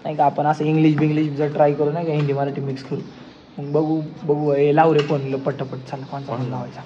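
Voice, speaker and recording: A man's voice: fairly high pitch, level tone, fast. A young man with a Maharashtrian accent chatting casually and playfully with friends as a slangy prank vlogger. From Maharashtra, India. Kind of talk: casual vlog chat with friends. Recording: muffled audio with clear background noise.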